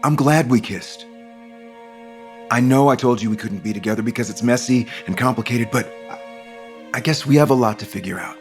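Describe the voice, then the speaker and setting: An actor playing a supportive but conflicted man in love in an audio soap opera, gentle, sincere and sometimes halting. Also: mid-range voice, faint music behind, lightly acted. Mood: guarded, conflicted.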